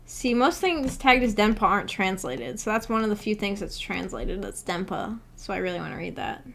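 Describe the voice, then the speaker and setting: Girl streamer chatting w viewers, kinda shy and giggly, normal pitched voice, hesitant. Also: sing-song, moderate pace, vocal fry, a bit bright, slight background noise. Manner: giggly, hesitant, self-effacing. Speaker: livestream host. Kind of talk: casual livestream chat, reading and answering viewer comments.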